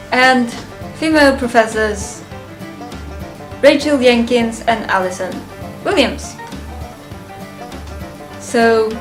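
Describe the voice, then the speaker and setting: A woman giving a casual, unscripted fan review of a ranked list, halting and thinking aloud, often chuckling. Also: on the high side.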